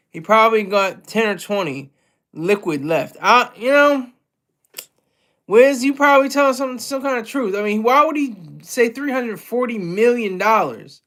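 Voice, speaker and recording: Streamer, male, high-pitched, rambly casual chat with viewers, lots of restarts. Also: unhurried, a bit bright. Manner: loose, rambling, with frequent restarts and chuckles. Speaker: livestream host reading chat.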